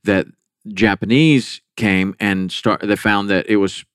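A man hosting a podcast as a professional angler, talking in a relaxed, conversational way. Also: lowish voice, slow pace, smooth, no echo, studio quality. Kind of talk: podcast intro and interview.

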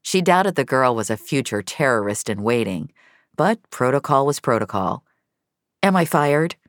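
A woman's voice: very deep voice, animated, normal speed, dry recording, clean studio sound. A woman reading scripted fiction as a dramatic narrator. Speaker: narrator and reader of an audio fiction episode.

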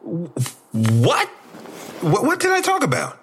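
An actor playing a groggy, injured, uneasy man in an audio drama, halting and husky. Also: sits high, wildly swooping pitch, fairly bright tone, slight background noise, exaggerated voice. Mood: alarmed. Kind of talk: naturalistic audio drama dialogue.

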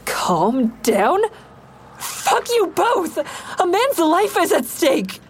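A woman's voice: very high voice, very animated, extremely slow, a little hiss or hum, exaggerated voice. An actress playing a defiant, distraught young woman who narrates her own story in an audio drama. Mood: furious, desperate. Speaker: narrator and lead character.

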